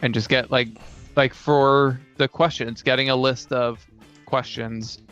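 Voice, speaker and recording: A man in casual conversation as a co-host and player, halting as he searches for words. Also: medium pitch, fairly even intonation, a bit bright, clear, resonant tone. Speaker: podcast co-host and tabletop player. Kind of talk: casual chat about fantasy tabletop games. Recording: dull lo-fi sound with faint music. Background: faint guitar music.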